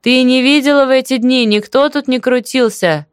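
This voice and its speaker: A woman voicing a feisty, impatient young village woman shouting in short emphatic phrases, in an audiobook story. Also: fairly high pitch, animated, a little slow, smooth, no room sound, studio quality, pitched above the performer's own voice. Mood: exasperated shouting.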